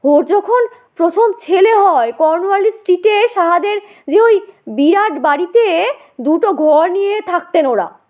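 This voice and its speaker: A woman's voice: very high-pitched, lively intonation, measured pace, a little mellow. A woman voicing an eager, gossipy woman in a story, confiding and dramatic as she recounts.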